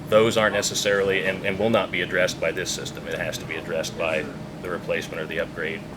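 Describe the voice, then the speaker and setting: A man giving unscripted interview answers as an official, explaining things in a halting, measured way. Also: deep voice, level tone, background noise. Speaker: public safety radio official being interviewed.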